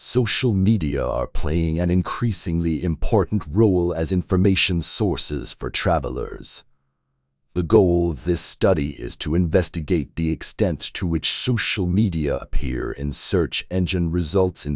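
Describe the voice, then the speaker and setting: Male reader for English test passages, extremely deep voice, dry recording. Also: animated, measured pace, darkish tone, no room sound. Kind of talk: scripted read-aloud of exam practice passages.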